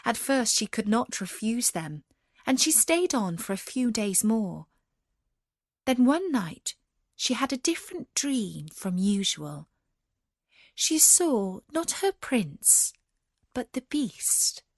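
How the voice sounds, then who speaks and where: A woman narrating a scripted fairy tale as a storyteller, measured and expressive. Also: fairly high pitch, dry recording, clean studio sound.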